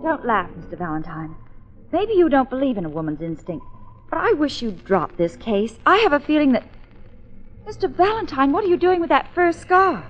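Female sidekick for vintage radio comedy: exasperated young secretary, high voice, earnest and protesting, muffled recording. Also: very animated, moderate pace. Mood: worried, reproachful. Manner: protesting, earnest, put-upon. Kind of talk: old-time radio comedy-mystery acting.

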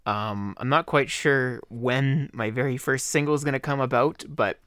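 A man hosting his own podcast, talking casually in a slightly hoarse voice, rambling with fillers. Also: medium pitch, normal speed, a bit bright, dry recording, studio quality. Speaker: podcast host. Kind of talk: casual solo podcast talk.

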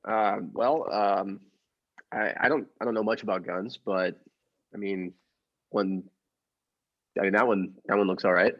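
A man playing a mild henpecked husband plotting murder in a radio play, measured in narration and hesitant in conversation. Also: fairly low pitch, steady tone, highs cut off. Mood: nervous, hesitant.

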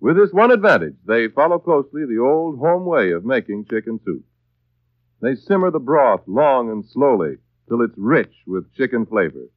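Male radio pitchman, lively swooping delivery, polished and persuasive, dry muffled 1930s broadcast sound. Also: normal speed, dry recording. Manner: polished, emphatic, folksy persuasion. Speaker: radio announcer and sponsor pitchman. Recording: dull vintage 1930s radio recording.

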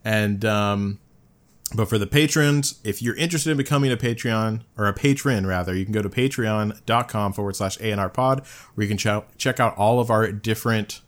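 A man's voice: on the low side, normal speed. A man hosting a podcast, chatting casually, rambling with lots of fillers and laughing often. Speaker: podcast host.

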